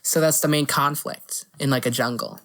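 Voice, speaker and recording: Low-pitched woman, opinionated casual podcaster. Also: fairly even intonation, normal speed, a bit bright. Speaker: podcast co-host. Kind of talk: casual chat about movies and games.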